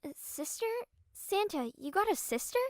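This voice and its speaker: A woman's voice: sits high, animated, moderate pace, no echo. A woman voicing a sweet, playful, slightly ditzy young woman in a game fan dub, girlish and teasing.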